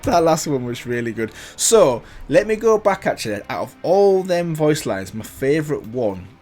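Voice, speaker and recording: A man's voice: a little high, sing-song, normal speed, a little mellow, soft background music. A man hosting a casual video game reaction, chatting enthusiastically with plenty of "um"s. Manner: enthusiastic, rambling, lots of fillers.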